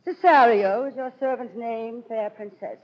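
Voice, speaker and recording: A woman's voice: on the high side, unhurried, muffled recording, lightly acted. Woman playing earnest youth in 1930s radio Shakespeare. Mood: courtly, humble. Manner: theatrical, formal verse delivery. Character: bewildered young noblewoman disguised as a page boy, earnest, courtly. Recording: vintage 1930s radio broadcast.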